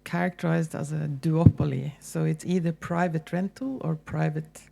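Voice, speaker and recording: A woman with a Norwegian accent giving a relaxed, conversational public lecture as a university lecturer, with frequent ums and restarts. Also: low voice, moderate pace, vocal fry. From Norway.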